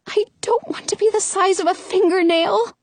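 Timid captive fairy-tale girl, played by a woman, high, slow, hesitant, pleading. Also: animated, fairly bright tone, dry recording, full character voice. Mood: timid, apologetic.

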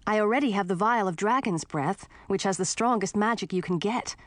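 A woman's voice: medium pitch, brisk, no room sound, close to an everyday voice. A woman voicing a bold, earnest young princess with magic powers, insistent and forthright, in a storybook reading.

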